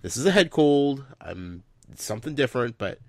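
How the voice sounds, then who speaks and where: A man's voice: mid-range voice, very animated, moderate pace, a little mellow, no room sound. A man hosting a casual podcast chat, stuffed up from a head cold, rambling with lots of fillers. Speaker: podcast host.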